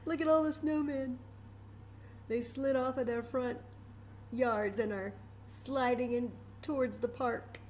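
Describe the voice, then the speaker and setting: A woman's voice: a little high, fairly even intonation, a little slow, a little mellow, slight background noise. An American woman hosting a children's storytime, speaking expressively and directly to young listeners, mixing read-aloud with chatty asides. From Texas, United States. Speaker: storytime reader and host.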